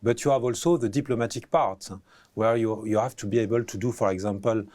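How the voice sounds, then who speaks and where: A man, a professor answering interview questions, pausing often with fillers and restarting phrases. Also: medium pitch, a little mellow. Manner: hesitant, many fillers and restarts.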